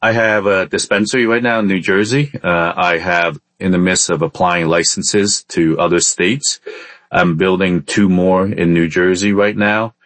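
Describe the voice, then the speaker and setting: A man's voice: low-pitched, fairly even intonation, a little slow, fairly bright tone, no room sound. A man from Vietnam who moved to the US as a child, telling his story casually as an interview guest, hesitating often. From born in Vietnam, in the US from age ten. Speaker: podcast guest, entrepreneur.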